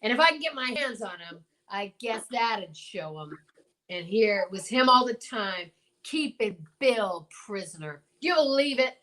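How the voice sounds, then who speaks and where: A woman playing a stubborn, folksy small-town male marshal in an old-time radio drama, blustering and halting. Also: fairly high pitch, animated, a bit bright, rough recording. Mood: exasperated.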